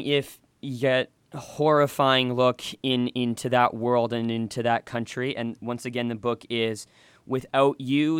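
A man hosting a radio show, asking measured, polite questions and signing off the program. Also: mid-range voice, a bit bright, smooth, no room sound. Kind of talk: radio interview and sign-off.

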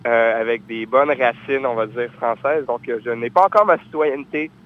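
A young man, answering questions about his music. He halts often, fills pauses with "euh" and restarts his sentences. His voice sits at a middle pitch, and the recording sounds dull and lo-fi. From France. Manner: hesitant, many fillers and restarts. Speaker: singer-songwriter guest. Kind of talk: casual interview answers.

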